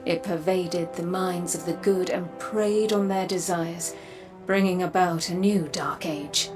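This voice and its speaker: Woman as a slow, low-pitched, cryptic seer. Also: steady tone, over music, close to an everyday voice. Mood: grave, foreboding. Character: cryptic, grave seer from a dark timeline. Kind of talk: dramatic video game narration.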